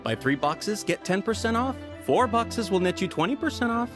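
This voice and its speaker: A man's voice: high voice, very animated, normal speed, music in the background. An actor voicing an eager, ingratiating male merchant in a video game, with a salesman's patter. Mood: salesy, persuasive. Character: eager, smooth-talking male market merchant pushing bargains. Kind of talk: scripted video game character dialogue.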